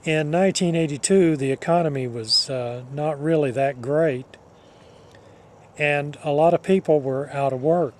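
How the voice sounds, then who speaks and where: Slow-talking older man reminiscing. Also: a little high. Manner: measured, halting, deliberate phrasing. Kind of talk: personal reminiscence told to an audience.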